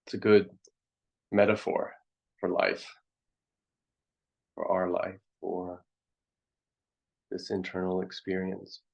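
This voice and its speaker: A man leading a guided meditation as a teacher, contemplative, speaking in short halting phrases with long pauses, on a dull, muffled recording. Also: deep voice, monotone, vocal fry.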